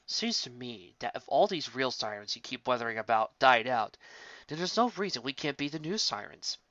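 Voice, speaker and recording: A sly female sea creature, voiced by a woman reading a story, speaks low and smug, needling quietly. Her voice sits deep for a woman. The sound is dry and slightly muffled. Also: big pitch swings, normal speed, no echo, heavily acted.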